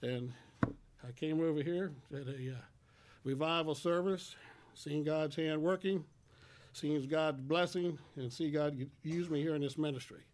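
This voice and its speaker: A man in his sixties speaks at a church lectern, his voice a little high for a man. He tells his personal testimony in homespun, halting phrases, plainly and without polish. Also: fairly even intonation, a little mellow. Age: 60s. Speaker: church member giving testimony. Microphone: microphone on a stand.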